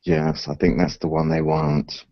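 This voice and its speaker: A man chatting on a video call, teasing and quick with his remarks. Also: very deep voice, monotone, creaky, dry recording, highs cut off. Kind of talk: casual chat on internet radio.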